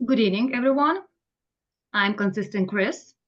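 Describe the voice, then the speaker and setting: A woman improvising a short speech to a group, halting, with non-native phrasing, on muffled lo-fi audio. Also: mid-range voice, lively intonation. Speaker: workshop participant in a role-play. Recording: dull, lo-fi audio.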